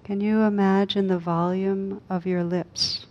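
A woman leading a guided meditation as a teacher, speaking calmly and unhurriedly with long pauses. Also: mid-range voice. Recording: dull, lo-fi recording.

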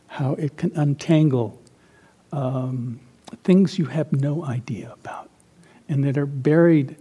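An older man who grew up in Central America speaks as a meditation teacher, his voice gravelly with fry and a husky edge. He tells personal stories in a halting, reflective way, his mellow tone often trailing off to near silence. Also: on the high side. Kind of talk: informal dharma talk with personal stories.

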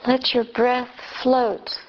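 A woman leading a guided meditation as a teacher, speaking gently and unhurriedly in short phrases with long pauses. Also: fairly high pitch, flat delivery, lo-fi sound, a little hiss or hum. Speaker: Buddhist nun, meditation teacher.

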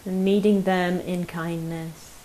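Woman doing guided meditation, lowish voice, gentle, really slow w long pauses, bit of hiss. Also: level tone, low-quality recording. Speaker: meditation teacher. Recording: faint hiss behind the voice.

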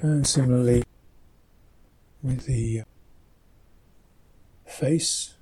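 A man teaching a guided meditation, speaking softly and gently in unhurried, halting phrases, with a slightly husky voice. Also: medium pitch, fairly even intonation.

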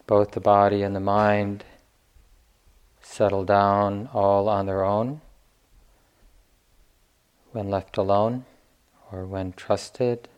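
Guy leading a meditation, really slow and gentle, low smooth voice. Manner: unhurried, gentle, with long pauses between phrases. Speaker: meditation teacher. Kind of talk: guided meditation instruction.

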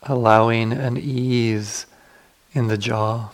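A man leading a guided meditation as a teacher, giving gentle, unhurried instructions with long pauses. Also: medium pitch, fairly even intonation.

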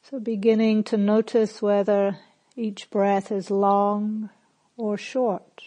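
A woman teaching guided meditation in a calm, gentle manner, with spacious phrasing and long pauses between instructions. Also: medium pitch.

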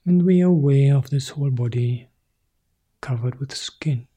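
A male monk guiding a meditation in soft, short phrases that often sink into a whisper. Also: mid-range voice, moderate pace, breathy edge. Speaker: Buddhist monk leading meditation. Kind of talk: guided meditation.

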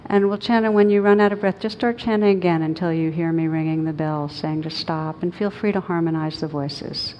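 A woman with a fairly low voice leads a guided meditation for a group. She speaks gently and without hurry, leaving long pauses between phrases. The recording has clear background noise with a low rumble. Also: fairly even intonation, muffled recording. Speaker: meditation teacher.

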